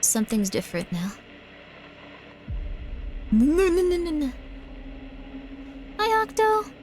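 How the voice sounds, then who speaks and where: A woman's voice: extremely high pitch, sing-song, slow, a little mellow, a little hiss or hum. A woman live-streaming a game, chatting in a bubbly way and often thanking her viewers. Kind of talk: casual live-stream chat while gaming.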